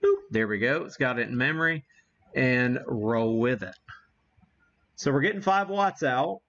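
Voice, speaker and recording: Muffled, lo-fi stream audio carries an American man with a middling-low voice. He talks slowly and casually, thinking out loud as he hosts a live demonstration. His phrasing is folksy and homespun. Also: lively intonation. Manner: folksy, mild homespun exclamations. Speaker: livestream host demonstrating ham radio gear.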